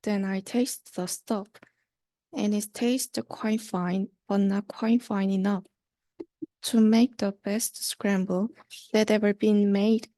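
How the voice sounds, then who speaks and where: A woman's voice: mid-range voice, fairly even intonation, takes it slowly, mellow, dry recording. A young woman, a university student, reading a children's rhyming story aloud. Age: young adult.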